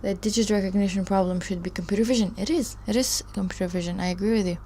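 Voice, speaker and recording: A woman's voice: mid-range voice, fairly even intonation, quick pace, dark tone, a little hiss or hum. A woman hosting a live study stream, chatting casually with viewers and reading their comments aloud in a rambling, chatty way. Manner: rambling, chatty, laughs often, trails off.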